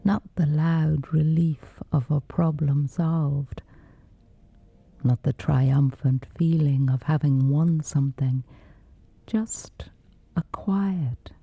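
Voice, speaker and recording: A woman gently guiding a scripted sleep meditation in a soothing, breathy whisper, unhurried throughout. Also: deep voice, dry recording, highs cut off. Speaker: meditation guide.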